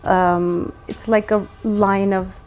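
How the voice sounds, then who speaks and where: A woman from Armenia, a charity guest, talking earnestly with many halting restarts. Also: medium pitch, level tone, a little mellow. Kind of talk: conversational interview.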